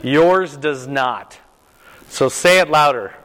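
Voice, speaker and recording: An older man with a loud voice leading a Bible class, quizzing his group and pressing them to answer. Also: fairly high pitch, slow pace. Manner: questioning, repeats and presses for answers. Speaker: Bible study teacher. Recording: reverberant room.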